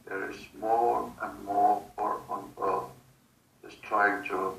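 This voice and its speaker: Male, trance-channelling subject: halting, very slow, bright gravelly edge, distant roomy recording with faint noise. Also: lowish voice, little pitch movement. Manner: halting, broken phrases with long gaps. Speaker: hypnosis subject channelling otherworldly beings. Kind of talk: slow, halting channelled monologue in a guided session.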